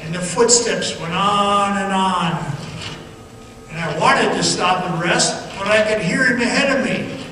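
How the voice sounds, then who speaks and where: A man playing a frightened miner recounting a haunting underground ordeal as a halting, suspenseful radio theatre monologue. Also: high-pitched, bright, forceful tone. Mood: fearful, tense. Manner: halting, suspenseful, dramatic storytelling. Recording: noisy, very echoey hall, distant mic.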